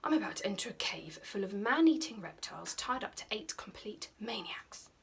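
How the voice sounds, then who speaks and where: A woman's voice: medium pitch, expressive, normal speed, fairly bright tone, husky, in a whisper, exaggerated voice. A woman acts out a sardonic young Viking boy from a children's book. He is British, dry and sarcastic, a grumbler with little faith in his companions. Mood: muttering sarcastically.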